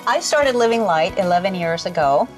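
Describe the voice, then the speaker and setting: A middle-aged woman, founder of a culinary school, speaking earnestly and reflectively in an interview, pausing often on fillers. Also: on the low side, animated, over music, poor audio.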